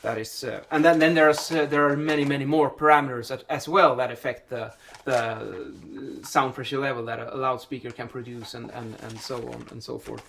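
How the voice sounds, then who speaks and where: A man's voice: fairly high pitch, a bit bright, a little hiss or hum. A man giving an informal explainer to camera, thinking aloud with repeated words and self-corrections. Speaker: vlog host and building acoustics specialist answering a question. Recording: voice distant from the microphone.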